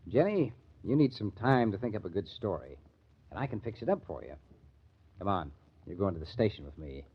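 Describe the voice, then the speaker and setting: A man's voice: fairly low pitch, very animated, brisk, slightly rough. A man acting a sardonic police lieutenant in an old-time radio crime drama, needling and interrogating. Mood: sardonic, probing. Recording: dull vintage radio recording.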